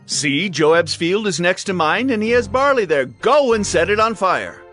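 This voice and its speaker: Man playing a scheming young prince, high animated voice. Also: moderate pace, faint music behind. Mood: commanding, vengeful. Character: ambitious, scheming young prince of ancient Israel. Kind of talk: dramatized audio Bible acting.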